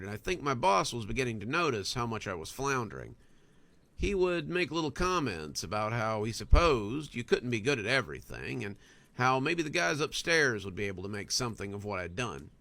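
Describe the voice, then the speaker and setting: A man narrates a first-person story in an expressive, storytelling way. His pitch is middling for a man and moves around a lot, giving the scripted reading a lively shape. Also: dry recording.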